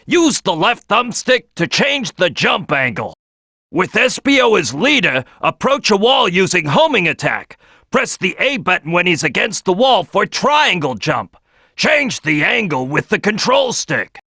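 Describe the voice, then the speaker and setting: Brash gravelly cartoon crocodile, high-pitched and forceful. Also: male voice, sing-song, unhurried, no echo, clean studio sound, full character voice. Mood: instructive, upbeat. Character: big brash loud male cartoon crocodile, team leader. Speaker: video game character giving gameplay tips. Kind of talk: animated game tutorial voice lines.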